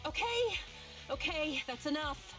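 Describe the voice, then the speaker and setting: Taunting cat-girl mercenary for an anime game; animated delivery, music underneath. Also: high-pitched, unhurried, recorded from a distance. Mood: irritated. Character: cynical, predatory cat-girl mercenary villain.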